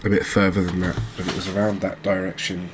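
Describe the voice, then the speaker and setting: A man giving relaxed ASMR commentary over a fishing video game, chatting to viewers and often checking in with tag questions. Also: low voice, fast talker, background noise. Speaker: gaming video host.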